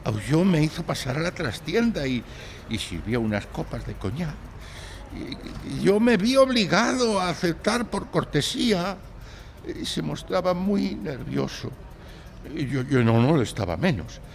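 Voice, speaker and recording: A meek, anguished young man in a radio-style drama. His voice is high and gravelly at the edges, halting and full of sighs, with noticeable noise behind it. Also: animated. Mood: nervous, hesitant. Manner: hesitant, halting, sighing. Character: timid, anguished young male client telling his misfortune. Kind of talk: live audio drama acting.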